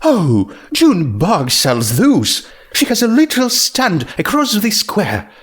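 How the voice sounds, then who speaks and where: A man voicing a genteel, polite young female pony with a dainty, drawn-out delivery in a storybook reading. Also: high voice, wildly swooping pitch, slightly rough, pitched above the performer's own voice, exaggerated voice. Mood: polite, refined.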